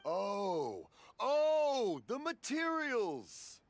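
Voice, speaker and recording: Jovial, animated old half-deaf dad. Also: male voice, extremely high pitch, heavy background noise, heavily acted. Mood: confused, cheerful. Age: older. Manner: bumbling, jovial, drawn-out. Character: cheerful, hard-of-hearing old father, a firework craftsman. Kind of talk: English video game voice acting.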